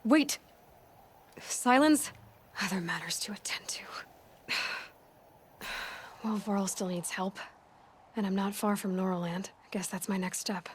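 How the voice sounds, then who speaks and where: A woman plays a young huntress from a video game, frank and determined, with a dry wit and a compassionate streak. Her voice sits at a middle pitch, with some background noise behind it. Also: a little slow, long pauses, close to an everyday voice. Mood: frustrated, musing.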